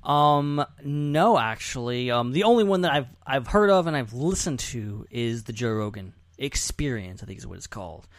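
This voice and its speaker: A man's voice: mid-range voice, animated, normal speed, hardly any pauses, no echo. A man hosting a podcast in casual chat, talking in an informal, jokey, rambling way. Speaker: podcast host.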